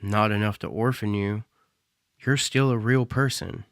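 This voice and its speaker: A man's voice: on the low side, steady tone, measured pace, no room sound, clean studio sound. An actor playing an anxious young male cyborg performer who is defensive and bitter, in an audio drama. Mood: brooding.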